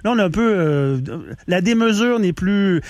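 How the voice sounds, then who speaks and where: A man's voice: high voice, expressive, moderate pace, a little mellow, dry recording. A man hosting a radio interview, conversational and prompting his guest. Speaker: radio host.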